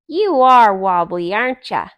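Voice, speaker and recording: Woman as a blunt, excitable young rabbit. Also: medium pitch, a little slow, dry recording. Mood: frank, cheeky. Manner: blurts things out plainly. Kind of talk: children's storybook character voice.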